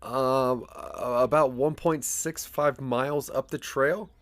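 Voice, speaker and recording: A man's voice: medium pitch, steady tone, slow pace, fairly bright tone, no echo, voice pushed up. A man voicing an anxious, snakebitten inventor phoning for help in an audio drama, hesitant and stammering.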